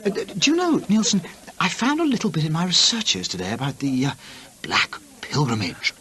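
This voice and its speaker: A bookish travel writer in a radio play. He is genteel and precise, musing over his discoveries in a very breathy, rough-edged voice. His pitch moves about in an animated way. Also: a little fast, full character voice. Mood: eager. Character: inquisitive English travel writer and amateur antiquarian, bookish, chatty. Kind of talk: radio drama acting and narrated journal.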